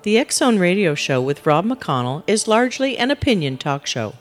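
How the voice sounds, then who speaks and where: Animated lower-pitched woman reading a disclaimer. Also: moderate pace, noisy background. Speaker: disclaimer announcer. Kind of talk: formal scripted announcement.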